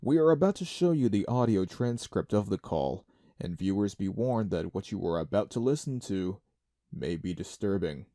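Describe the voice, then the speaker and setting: A serious male news anchor played by a man with a lowish, mellow voice. He reads formally and measuredly in a dry, close recording. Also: lively intonation, moderate pace, dry recording, close to an everyday voice. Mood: grave. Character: grave male TV news anchor reporting disappearances. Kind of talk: scripted news narration.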